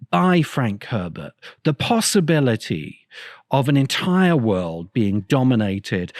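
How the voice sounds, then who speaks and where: Slow, expressive man hosting a podcast. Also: on the high side, no room sound, clean studio sound. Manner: grave, deliberate, emphatic phrasing. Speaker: podcast host. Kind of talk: reflective monologue, video essay.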